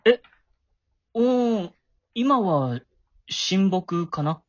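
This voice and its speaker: A man's voice: sits high, animated, extremely slow, no room sound, lo-fi sound, heavily acted. A man acting a shy, awkward middle-school boy with a crush in an amateur voice drama, flustered and prone to stammering. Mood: flustered, eager.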